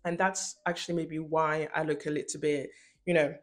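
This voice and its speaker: A woman's voice: sits low, flat delivery, normal speed, soft background music. A young woman talking casually and emphatically to camera in an informal, slangy video essay style, recorded in a room at home. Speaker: video essayist and channel host.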